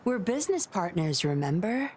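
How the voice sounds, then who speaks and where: A woman's voice: fairly low pitch, very animated, normal speed, darkish tone, a little hiss or hum. An actress playing a composed, self-assured woman negotiator in a video game, with a wry, teasing manner.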